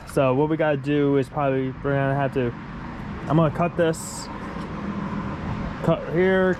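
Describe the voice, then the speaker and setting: A man with a stuffy, congested voice talks through his work on a wrecked car in a garage. He rambles informally and corrects himself mid-sentence. His pitch sits in an ordinary middle range, and faint vehicle and shop sounds hang in the background. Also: a little hiss or hum. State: congested after being sick. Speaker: vlogger working on his race car. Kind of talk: casual how-to narration.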